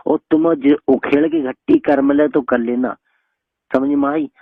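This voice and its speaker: Gravelly scolding man on a muffled phone call. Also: medium pitch, fairly even intonation, unhurried, no room sound. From Bareilly area, Uttar Pradesh, India. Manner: scolding, threatening, colloquial. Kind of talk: heated phone argument. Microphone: telephone.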